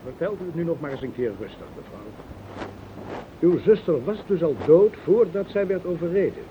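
An actor playing a calm, courteous and probing police interrogator in a radio drama, with a Netherlands Dutch accent. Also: male voice, fairly high pitch, normal speed, natural voice. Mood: measured, probing. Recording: tinny, noisy old radio recording.

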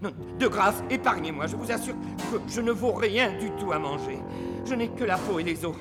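An actor playing a cowardly, lovesick clown in classical French stage farce, with drawn-out theatrical phrasing and exclamations. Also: male voice, mid-range voice, animated. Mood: frightened, fawning. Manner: drawn-out mock-lyrical phrasing with stammers and exclamations. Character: cowardly, boastful, lovesick clown, fawning when frightened. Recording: long hall reverb, music behind.

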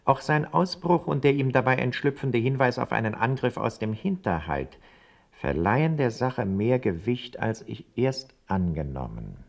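A man's voice: medium pitch, sing-song, normal speed, gravelly. An actor playing a servile informant who narrates his formal report in ornate for a radio play. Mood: deferential.

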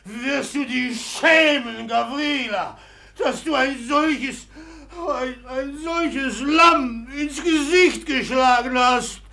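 An actor playing a drunken, boastful merchant in love, impulsive and loud, in a 1950s German radio drama. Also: male voice, very high voice, creaky, full character voice. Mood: tearful, tender. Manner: shouting, laughing, impulsive, drawn-out emphatic phrases.

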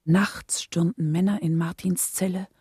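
Eine Schauspielerin spielt in einem Hörspiel eine besorgte, würdevolle Ehefrau, ernst und gefasst. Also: female voice, lowish voice, fairly even intonation, measured pace, warm tone, lightly acted. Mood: earnest, remembering. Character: anxious, dignified wife of a jailed man. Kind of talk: radio drama narration and dialogue.

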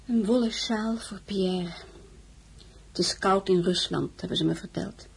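An actress playing a homely, caring servant and confidante in a period radio drama, with a creaky, husky voice. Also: female voice, mid-range voice, measured pace, full character voice, poor audio.

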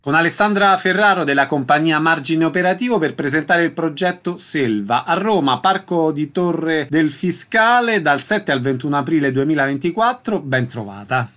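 A man's voice: a little high, animated, normal speed, hardly any pauses, fairly bright tone. A man hosting a radio interview, who briefly announces event dates and places and thanks his guests. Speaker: radio host.

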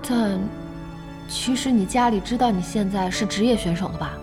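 A woman's voice: medium pitch, moderate pace, natural voice. A woman playing a concerned, sympathetic young friend in a radio drama, earnest and probing. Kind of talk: Chinese radio drama acting. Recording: prominent music behind the voice.

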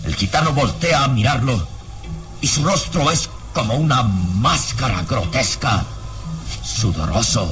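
A man narrating a vintage radio drama from a script with dramatic, suspenseful and deliberate phrasing. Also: mid-range voice, animated, a bit bright. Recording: radio serial with music behind.

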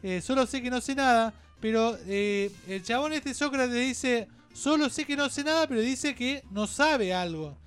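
An Argentine man co-hosting a radio show in rambling, opinionated chat, halting, digressing and repeating himself. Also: very high-pitched, expressive, fairly bright tone, clean tone, soft background music.

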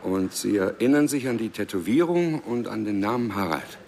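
Polite cop asking questions, low gravelly male voice, businesslike, noisy background. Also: animated, normal speed. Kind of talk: naturalistic radio play acting. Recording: clearly noisy background ambience.

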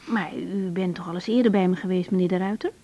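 Young lady doctor in radio drama, soft mellow voice, unsure of herself, muffled old recording. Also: on the low side, fast. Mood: polite, professional. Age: young adult. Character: young woman doctor, reflective, self-doubting, gently frank. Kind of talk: naturalistic radio drama dialogue. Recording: dull 1980s radio recording.

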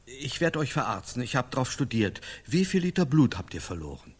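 Blunt, husky medieval doctor. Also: male voice, medium pitch, sing-song, normal speed. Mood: blunt, brisk. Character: brisk medieval doctor, bluntly cataloguing injuries. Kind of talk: German radio drama acting.

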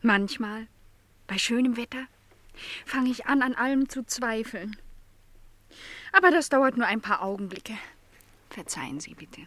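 A young housemaid in a radio play, with a fairly high voice in a dry, close recording. She is lonely, naive and earnest, full of yearning, and now and then grows hushed. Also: animated, unhurried, long pauses, no echo. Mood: timid, wistful. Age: young adult. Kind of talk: German radio play dialogue.

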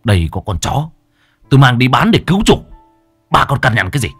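A man voicing a surly, callous young son who snaps back fast and curt. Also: mid-range voice, very animated, a bit bright, slightly rough, no echo, studio quality, faint music behind, pitched above the performer's own voice, exaggerated voice. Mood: belligerent. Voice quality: curt, rapid.

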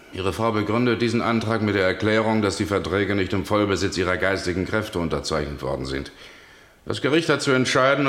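An actor playing a stern judge in a radio play, questioning in a formal and insistent manner. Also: male voice, low voice, fairly bright tone, roomy sound. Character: stern male judge pressing for answers. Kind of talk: German radio play acting.

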